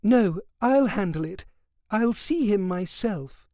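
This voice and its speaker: A man voicing a composed, guarded young woman who speaks in a measured, polite way. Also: high voice, expressive, mellow, no echo, clean studio sound, voice pushed up, exaggerated voice.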